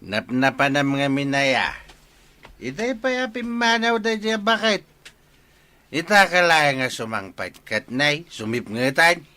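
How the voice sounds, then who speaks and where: A man's voice: a little high, animated, unhurried, pushed and bright, dry recording. A man with an Ilocano accent playing a brash, hot-tempered middle-aged village father in a comedy radio drama, blustering and defiant. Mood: grumbling, boastful.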